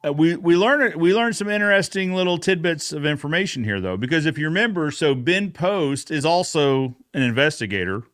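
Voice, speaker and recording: A man with a somewhat high voice hosts an informal recap conversation. He retells the story with animation, slipping into quoted dialogue as he goes. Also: expressive, fairly quick, hardly any pauses, fairly bright tone. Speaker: show host. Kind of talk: casual recap chat with a co-host.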